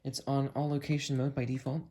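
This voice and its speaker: A man's voice: mid-range voice, little pitch movement. Man casually reviewing a gadget, noisy recording. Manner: unhurried, thinking aloud while testing. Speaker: video creator demonstrating a weather radio. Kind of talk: casual hands-on review narration.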